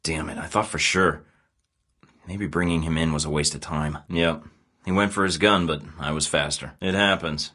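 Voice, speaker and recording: A man's voice: extremely low pitch, steady tone, moderate pace, pushed and bright, exaggerated voice. An actor playing a dry, sarcastic male secret agent in a video game, delivering wry, understated lines in a studio.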